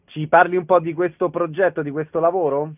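A man's voice: a little high, flat delivery, fairly quick, narrow, tinny audio. A man hosting a radio show, asking questions and introducing guests in an interview.

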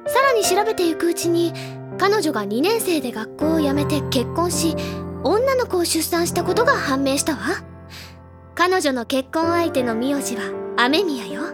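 Very high-pitched, dramatic schoolgirl bookworm. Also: animated, moderate pace. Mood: proud, explaining. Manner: theatrical, gushing, self-important. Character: bookish, dramatic high-school senior girl, proud and easily flustered. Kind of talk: anime-style audio drama acting. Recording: studio drama with music underneath.

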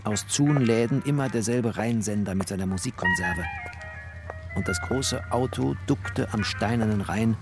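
A man's voice: medium pitch, wildly swooping pitch, moderate pace, music in the background. An actor playing a bookish, wry, tender poor writer who narrates his life in clipped, poetic phrases in a radio play. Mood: observant, lyrical. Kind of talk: literary first-person radio play narration.

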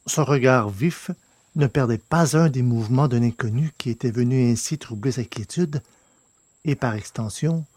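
A man with a slightly husky voice reading scripted fiction as an audiobook narrator. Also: medium pitch, darkish tone, no room sound.

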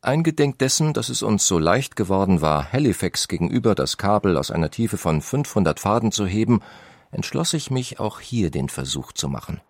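A man's voice: on the low side, very animated, moderate pace, dry recording. A man playing a resolute expedition leader, giving a composed, formal, old-fashioned account. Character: Karl Siemens, resolute expedition leader recounting at sea.

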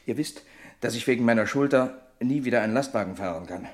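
A man's voice: medium pitch, fast talker, close to an everyday voice. An actor playing a hearty, persuasive trucker friend in a German radio crime drama, jovial and teasing, drawing out his announcements. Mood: matter-of-fact.

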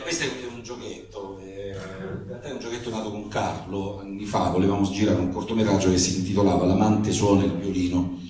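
A man giving an informal introduction to an audience, rambling and hesitant with a jokey way of talking. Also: deep voice. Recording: very echoey room, distant mic.

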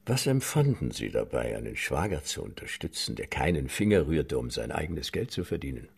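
An actor playing a brisk, probing police detective who questions suspects bluntly in a radio crime play. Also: male voice, a bass voice, sing-song, gravelly, darkish tone, husky, exaggerated voice. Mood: cool, blunt. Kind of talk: radio crime drama interrogation.

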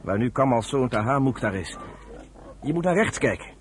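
An actor playing a steady, affectionate young farm son in a radio drama, earnest and reassuring. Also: male voice, mid-range voice, normal speed, lightly acted. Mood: proud, earnest. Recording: noisy old radio play with farm animal sounds.

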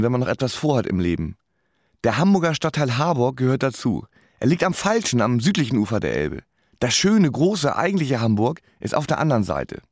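A man's voice: medium pitch, a little mellow, dry recording, clean studio sound. An actor playing a self-deprecating young man stuck in life, narrating his story in a radio drama with wry self-mockery. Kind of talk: first-person scripted radio drama narration.

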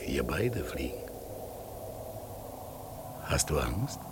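An actor playing a solemn, mysterious talking raven in a radio play, with a husky, gravelly voice and a slow delivery. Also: male voice, a bass voice, sing-song, very noisy, full character voice. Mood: hushed, mysterious. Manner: slow, solemn, sometimes calling out or whispering. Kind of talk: German radio play acting.

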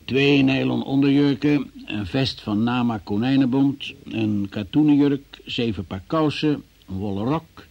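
A dull, muffled old radio recording carries a formal examining magistrate. The man speaks in a mid-range voice with brisk, official, procedural phrasing, businesslike throughout. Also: medium pitch, creaky, darkish tone. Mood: official, matter-of-fact. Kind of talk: radio play acting.

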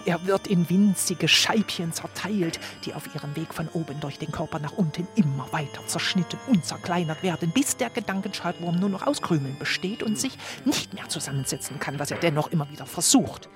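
An actress playing an earnest, delusional housewife and psychiatric patient, speaking solemnly and precisely in a documentary radio play. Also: female voice, fairly low pitch, expressive, brisk, few pauses, music in the background, lightly acted. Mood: earnest, intense.

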